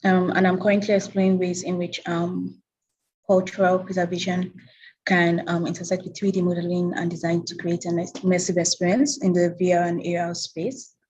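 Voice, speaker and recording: Young Nigerian woman, Hausa native, measured explanatory talk, lo-fi call sound. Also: on the low side, flat delivery, darkish tone, a little hiss or hum. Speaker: presenting artist. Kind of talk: online symposium presentation.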